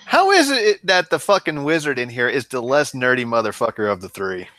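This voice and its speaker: A man chats crudely on a livestream, dropping curses freely. His voice is bright and sharp. The sound is dry, with no room echo. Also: mid-range voice, very animated, brisk. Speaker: bassist. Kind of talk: casual livestream chat.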